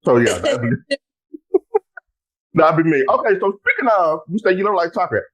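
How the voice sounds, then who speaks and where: A man hosting a casual podcast, talking in an animated way, exclaiming loudly and speaking straight to his listeners. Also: fairly high pitch, moderate pace, low-quality recording. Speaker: podcast host.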